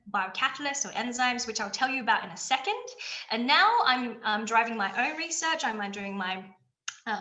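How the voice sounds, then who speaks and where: A woman gives an enthusiastic chemistry lecture, stopping often for ums and restarts. Her voice is bright and sits a bit high. The room around her sounds echoey. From China, raised in Australia. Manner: enthusiastic, many fillers and restarts. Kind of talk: webinar science talk.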